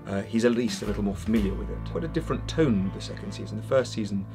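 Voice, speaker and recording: A man in his thirties, an actor, giving measured answers in an interview. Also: lowish voice. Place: studio room, indoors. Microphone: lapel mic. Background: music.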